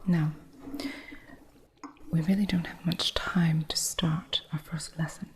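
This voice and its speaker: Woman doing a strict witch shopkeeper, soft whisper, haughty, slow and deliberate, low-pitched. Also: little pitch movement, a little hiss or hum. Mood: stern, haughty. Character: stern, impatient woman witch running a curio shop. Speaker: ASMR roleplay performer. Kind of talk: whispered ASMR roleplay.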